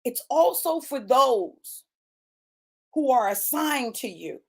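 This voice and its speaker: A woman's voice: sits high, very animated, slow pace, gravelly. A woman in her late fifties delivering a motivational sermon, emphatic and repetitive, addressing listeners as y'all and baby. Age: 50s. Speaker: preacher.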